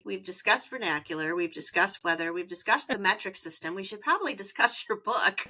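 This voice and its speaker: A woman's voice: medium pitch, fairly quick, pushed and bright. A woman from Wisconsin with a Wisconsin accent, hosting a podcast interview in a chatty, playful way. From Wisconsin, United States. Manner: chatty, playful, jokes around. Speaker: podcast host. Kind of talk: casual interview chat.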